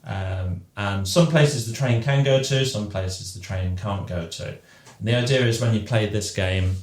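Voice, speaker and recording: A man's voice: on the low side, measured pace. A man hosting a casual podcast chat, patiently explaining the rules of a group game with plenty of ums. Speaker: podcast host running a game. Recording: roomy echo.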